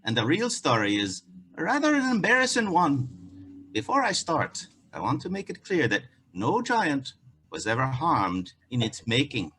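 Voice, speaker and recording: A man's voice: on the high side, huge pitch swings, normal speed, sharp tone, a little hiss or hum, exaggerated voice, low-quality recording. A man acting a wry bartender and onetime giant killer in a fairy-tale stage play, speaking emphatically. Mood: indignant.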